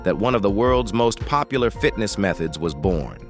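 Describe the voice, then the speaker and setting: A man's voice: on the low side, animated, normal speed. A man reading scripted, dramatic promotional narration, with music playing behind him. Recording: music bed under narration.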